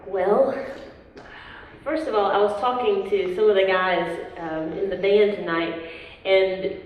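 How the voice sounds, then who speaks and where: A woman's voice: mid-range voice, steady tone, unhurried, muffled recording. Chatty Southern American woman in echoey hall. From southern United States. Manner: chatty, self-deprecating, laughs often, restarts. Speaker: worship leader, interview guest. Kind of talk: casual interview chat. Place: large hall at a worship event. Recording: echoey hall, voice from far off, faint noise.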